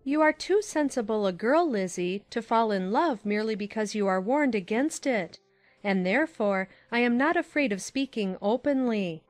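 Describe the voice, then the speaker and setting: A woman playing a sensible, kindly aunt in an audiobook drama, giving grave advice in a measured, admonishing manner. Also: a little high, expressive, no echo, soft background music, lightly acted. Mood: earnest caution.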